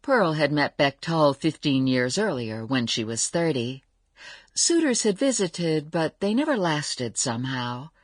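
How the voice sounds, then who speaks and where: A wry narrator of a radio family saga. Her voice is very low and husky, with animated pitch, recorded dry. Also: a little slow, no room sound, exaggerated voice. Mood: wry, storytelling. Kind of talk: scripted dramatised narration.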